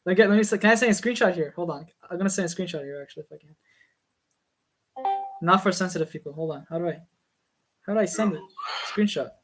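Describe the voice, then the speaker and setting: A man's voice: high-pitched, fast, pauses often, a bit bright, faint music behind. A man in a casual online voice chat, teasing and pushing others with questions. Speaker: voice chat participant.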